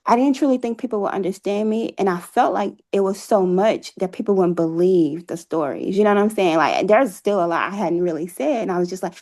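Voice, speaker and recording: A woman's voice: medium pitch, darkish tone. A woman speaking as an interview guest, telling her personal story in an earnest, reflective way. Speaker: interview guest, author and playwright.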